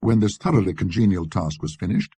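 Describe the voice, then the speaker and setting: Deep, dry-recorded man narrating a ghost story. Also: very animated, fairly quick, warm tone, husky, no room sound. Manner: precise, scholarly delivery.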